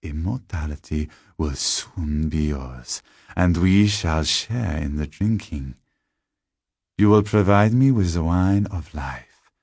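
A man voicing a tall, sardonic male vampire in a gothic horror story, slow and deliberate with soft, seductive menace. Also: low voice, slightly rough, no echo, studio quality, full character voice. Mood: amused, possessive. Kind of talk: gothic horror audiobook character.

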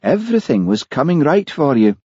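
A man acting a steady, plain-spoken male village police sergeant in an audiobook, somewhat formal. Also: fairly high pitch, brisk, slightly rough, no room sound, lo-fi sound, voice pushed up, full character voice. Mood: dismayed. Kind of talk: audiobook character voice.

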